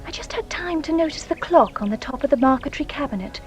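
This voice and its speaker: Nervous young lady witness, fairly high voice, hesitant confessional delivery, radio drama, soft music behind. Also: lively intonation, fast talker. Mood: uneasy recollection. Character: anxious, guilt-ridden genteel young Englishwoman giving testimony. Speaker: actress playing a witness.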